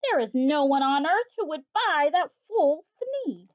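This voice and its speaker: A woman voicing a short, old, mossy male forest creature who talks in a sharp, bossy way. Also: high voice, big pitch swings, a little slow, no room sound, voice pushed up. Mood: indignant. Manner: shouting and puffing, upset. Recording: slightly dull lo-fi audio.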